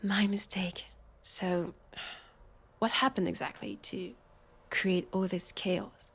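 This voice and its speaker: A woman with a French accent playing a weary, sardonic vampire police officer in an audio roleplay, halting and exasperated. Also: mid-range voice, steady tone, creaky, slight background noise. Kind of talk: scripted audio roleplay acting.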